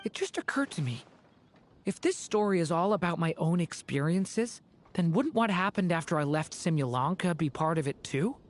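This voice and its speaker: An actor playing a gentle, earnest and hesitant young male character in a video game. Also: medium pitch, animated, moderate pace, slight background noise, lightly acted. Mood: thoughtful, hopeful. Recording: music behind the voice.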